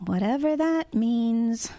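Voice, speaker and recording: A woman's voice: a little high, animated, slow, mellow, dry recording. A woman playing a practical, exasperated single mother with a weary, sarcastic manner in an audio drama. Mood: weary, sceptical.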